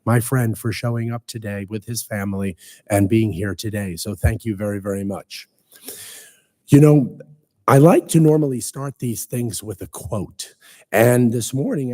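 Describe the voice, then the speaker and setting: A man speaks at a veterans breakfast, his voice worn hoarse by a cold. He delivers formal, earnest remarks with touches of light humor. His tone is mellow and dark, and the sound is dry, with little room around it. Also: medium pitch, no echo. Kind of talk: formal public speech. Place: city council chamber.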